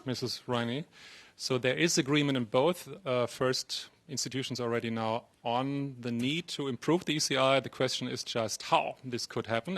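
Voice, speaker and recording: A man's voice: medium pitch, a bit bright. Guy moderating a conference panel, polite, moves things along. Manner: polite, brisk transitions.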